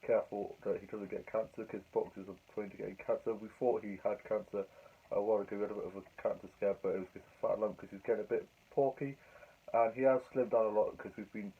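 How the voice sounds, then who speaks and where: A young man with a croaky cold voice, rambling chattily through his diary vlog. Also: lowish voice, steady tone, fast talker. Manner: chatty, rambling, fillers and restarts. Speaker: vlogger. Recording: tinny phone-like sound.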